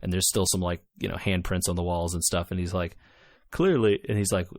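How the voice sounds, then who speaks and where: A man with a lowish voice co-hosts a casual gaming podcast. He retells things in an animated way, often acting out quotes. The sound is dry and close. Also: a little fast, no echo. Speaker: podcast co-host. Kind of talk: casual chat about video games.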